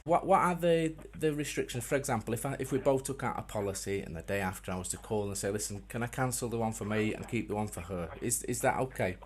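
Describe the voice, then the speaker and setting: A man making a prank call as a customer, speaking in a deadpan, mock-earnest way with hesitant, repeated phrases. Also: lowish voice, quick pace, hardly any pauses, a little hiss or hum. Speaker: prank caller.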